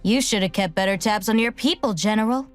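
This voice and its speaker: A woman's voice: mid-range voice, fairly quick, soft background music, natural voice. An actress as a brash, defiant young warrior woman. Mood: defiant, threatening. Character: angry, rebellious young armored warrior girl with metal powers. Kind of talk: video game character voice lines.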